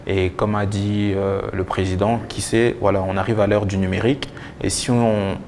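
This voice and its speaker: A young man giving halting answers with repeated words as a guest in a TV interview. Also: lowish voice, flat delivery, darkish tone, slight background noise. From DR Congo and Belgium. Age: young adult. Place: TV studio. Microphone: lapel mic.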